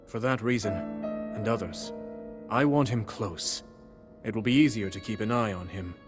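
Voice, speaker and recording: A man's voice: fairly low pitch, normal speed, close to an everyday voice. An actor playing a noble, self-assured, kindly king with stately, formal phrasing in a video game cutscene. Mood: calm, assured. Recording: music under the voice.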